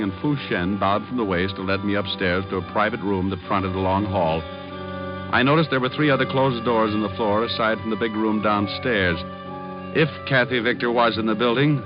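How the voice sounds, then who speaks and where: A man's voice: on the low side, fairly quick, close to an everyday voice. An actor playing a dry, wisecracking male private detective who also narrates, in a 1940s radio drama. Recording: lo-fi old radio with music.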